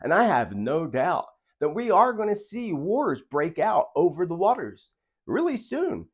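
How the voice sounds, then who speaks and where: A man's voice: on the high side, husky. A man hosting a video, speaking earnestly and emphatically straight to his viewers in commentary style. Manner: earnest, emphatic, rhetorical questions. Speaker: host of a prophecy video channel.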